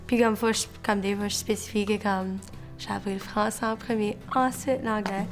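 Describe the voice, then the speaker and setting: A woman's voice: mid-range voice, fairly even intonation, normal speed. Casual young Canadian French woman, faint music. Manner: colloquial, peppered with "comme". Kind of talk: casual interview answer.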